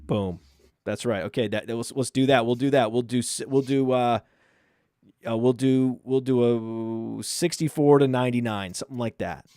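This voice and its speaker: A man from Maine with a mostly suppressed Maine accent, hosting a casual sports podcast, often repeating words and trailing off quietly. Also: medium pitch, normal speed, clean tone, no room sound, clean studio sound. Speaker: podcast host.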